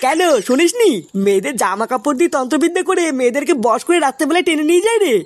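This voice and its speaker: Casting: female actor as inquisitive, earnest male villager for comic cartoon, brisk and animated delivery. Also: sits high, background noise. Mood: ominous.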